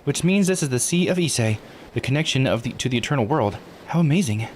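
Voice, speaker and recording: A man voicing an eager, excitable young-sounding female guide in a visual novel, informal and enthusiastic. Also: mid-range voice, fast, a little hiss or hum, voice pushed up, close to an everyday voice. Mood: eager, teasing.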